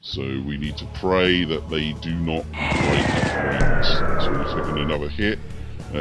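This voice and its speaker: A man giving tactical commentary on a video game as he plays, talking through each move and reacting to it. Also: very deep voice. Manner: narrates tactics and reacts to the action. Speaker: gaming video host.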